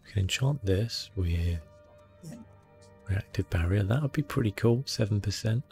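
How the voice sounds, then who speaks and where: Hushed, low-voiced man with creaky murmur. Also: animated, normal speed, faint music behind. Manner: hushed, murmuring, often dropping into whispers. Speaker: gaming video host. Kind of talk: ASMR gameplay commentary, soft-spoken and relaxed.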